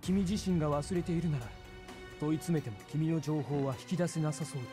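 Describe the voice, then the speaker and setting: A man's voice: a little high, rapid-fire, warm tone, natural voice. An actor voicing a terse, cold young man who speaks sparingly in a visual novel. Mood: cool. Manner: clipped, sparing with words. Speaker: game voice actor. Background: game music.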